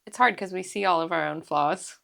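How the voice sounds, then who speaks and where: Bright, gravelly-voiced woman host. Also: fairly low pitch. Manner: encouraging, quick affirmations. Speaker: podcast host. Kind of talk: casual interview chat.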